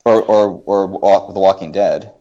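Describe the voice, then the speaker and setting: Male podcast guest, low-pitched, emphatic and halting, opinionated conversational delivery, slightly muffled lo-fi recording. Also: steady tone, warm tone. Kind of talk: casual opinionated discussion. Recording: dull lo-fi podcast audio.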